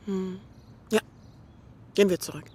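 A woman's voice: mid-range voice, fairly even intonation, measured pace, background noise, lightly acted. Actress as frank, caring young woman. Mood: calm, probing. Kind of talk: radio drama acting.

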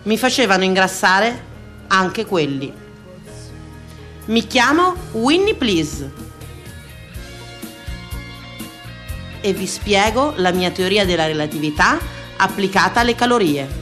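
An actress playing a wry, self-mocking woman eternally on a diet, declaiming in a comic theatrical radio monologue with dramatic pauses. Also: mid-range voice, sing-song, a bit bright. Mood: mock solemn. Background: music.

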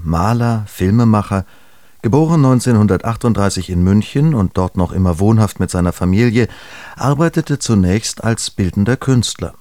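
A man's voice: on the low side, a little mellow, no echo, clean studio sound. A man presenting on radio, reading a scripted biographical introduction.

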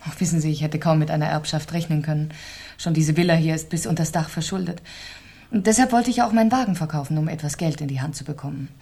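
Low-voiced, composed wealthy widow. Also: female voice, vocal fry, dark tone, slightly rough, exaggerated voice. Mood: guarded, cool. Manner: composed, deliberate, articulate. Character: composed, cool wealthy French wife, newly widowed. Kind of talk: 1970s German radio crime drama acting.

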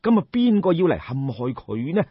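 A man's voice: on the high side, big pitch swings, moderate pace, breathy edge, dry recording, lo-fi sound. A man with a Cantonese accent telling a story in a colloquial, theatrical traditional oral style, addressing listeners directly. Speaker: storyteller, audiobook narrator.

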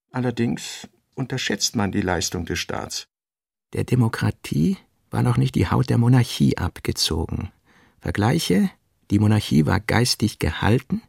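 A man narrating a radio play, reading fragmentary notes in a measured, clipped way. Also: mid-range voice, gravelly, darkish tone, husky. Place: radio studio.

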